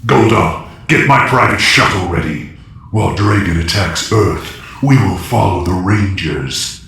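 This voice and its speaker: A man's voice: sits low, animated, measured pace, creaky, fairly bright tone, distant mic, exaggerated voice. A man playing an imperious, vengeful evil warlord in an audio drama, giving menacing commands. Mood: menacing, commanding. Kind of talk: audio drama villain acting. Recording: echoing, noisy, off the mic.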